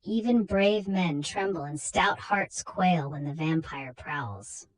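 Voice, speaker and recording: A woman's voice: sits low, animated, takes it slowly, very noisy. A woman hosting and narrating a horror comic story as a dramatic scripted reading. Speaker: narrator and host.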